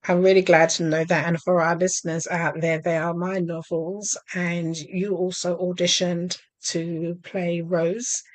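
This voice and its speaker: A woman's voice: on the low side, no echo. Dry-voiced woman host with a Barbadian accent. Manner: hesitant, lots of ums, long rambling questions. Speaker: host and novelist. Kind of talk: casual interview chat.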